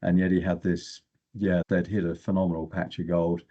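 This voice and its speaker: A man's voice: low-pitched, flat delivery, normal speed, mellow, highs cut off. An older man, an exploration geochemist, telling stories casually as an interview guest.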